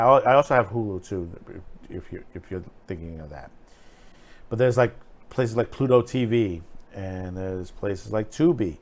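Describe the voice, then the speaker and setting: A man hosting an online film show, giving unscripted, opinionated commentary in a halting, rambling way with emphatic repeated phrases. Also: lowish voice.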